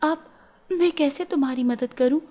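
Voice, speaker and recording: A woman's voice: sits high, normal speed, warm tone, voice pushed up, natural voice. A woman voicing a tender-hearted young princess in a storybook tale, anxious and earnest, often questioning. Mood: troubled.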